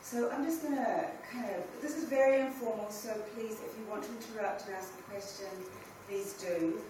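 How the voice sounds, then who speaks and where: A middle-aged woman speaks to a conference room in a relaxed, direct way, often restarting her phrases. She is picked up from a distance, so her voice rings around a very reverberant hall, and steady background noise runs underneath her. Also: a little high, moderate pace. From New Zealand. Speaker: panel speaker. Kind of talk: informal conference talk. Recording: very echoey hall from far off, with ambient noise.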